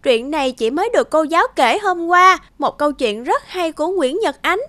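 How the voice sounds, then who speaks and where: A woman's voice: high-pitched, expressive, moderate pace, sharp tone, no echo. A woman voicing a caring, slightly bossy big-sister girl in a children's cartoon, playful and instructive. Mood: eager, teacherly. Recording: music under the dialogue.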